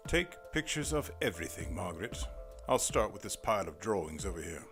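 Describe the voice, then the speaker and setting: Audio drama investigator, played by a man: formal, precise, grave, low-pitched and expressive, music underneath. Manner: formal, measured, old-fashioned wording.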